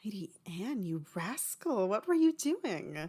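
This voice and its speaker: A woman's voice: medium pitch, very animated, a little slow, dark tone, slightly rough. A woman playing a nosy, playful young friend who loves to tease, in an audio drama. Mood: playful, teasing.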